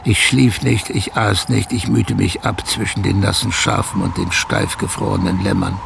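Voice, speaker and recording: An actor playing a weary, bitter male shepherd who gravely recounts hardship in a radio drama. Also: on the low side, steady tone, normal speed, noisy background. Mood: despairing. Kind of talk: radio drama first-person narration. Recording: music under the voice.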